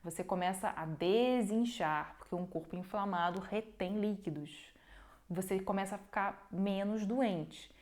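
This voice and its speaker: A woman's voice: medium pitch, measured pace, darkish tone. A young woman hosting a casual nutrition livestream, talking emphatically and repeating her points with checking tags. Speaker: nutrition live host. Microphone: phone livestream.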